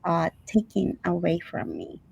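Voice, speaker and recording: A woman with a Filipino accent answers as a guest in a live online chat. Her voice is mellow and gravelly with fry, and it sounds close and dry. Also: on the low side, big pitch swings, unhurried, dry recording, rough recording. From Philippines. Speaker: interview guest.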